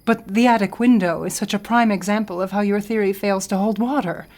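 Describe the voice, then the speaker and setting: An actress plays a skeptical scholar in a theatrical radio drama. Her voice is of medium pitch. She argues precisely, with a dry, dismissive edge. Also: fairly quick, darkish tone, natural voice. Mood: dry, impatient. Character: skeptical intellectual friend, dry and argumentative.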